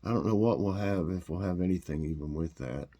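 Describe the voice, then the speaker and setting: Man, very low voice, stuffed-up nasal sound, rambling homey chat, lots of ums. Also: fairly quick, creaky, warm tone. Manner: rambling, homey, full of fillers and restarts. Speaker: vlog host. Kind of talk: casual morning chat and taste test.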